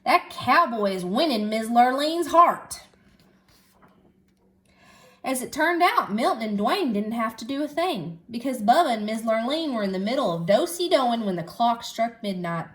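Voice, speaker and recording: Female storybook reader, teacherly, high voice with very lively intonation. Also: moderate pace, few pauses. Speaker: schoolteacher reading aloud. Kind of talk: animated children's storybook narration.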